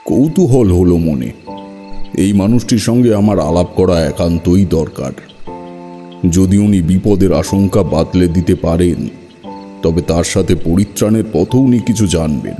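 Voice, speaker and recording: A man narrating a scripted first-person horror story in a measured, literary storytelling manner. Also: deep voice, animated, mellow. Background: music.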